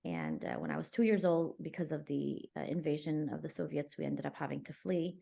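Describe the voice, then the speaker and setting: A woman in her forties, from Afghanistan and raised in New York City, telling her life story as a podcast guest in a warm, reflective way over a video call. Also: low-pitched, animated, a little fast, vocal fry. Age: 40s. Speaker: guest contributor. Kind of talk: conversational personal story.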